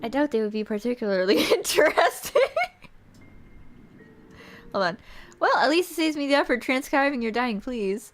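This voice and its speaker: A woman streamer reading a visual novel aloud as theatrical storybook narration, expressive and playful. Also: sits high, normal speed, long pauses. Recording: music behind the voice.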